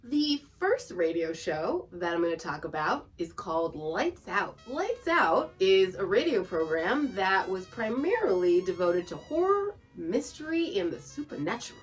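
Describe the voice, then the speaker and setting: A woman's voice: medium pitch, big pitch swings, measured pace, few pauses, far from the mic. A woman hosting a podcast in chatty, semi-scripted narration, with a playful, giggly manner and folksy asides. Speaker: podcast host. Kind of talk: chatty semi-scripted narration about old radio shows. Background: background music.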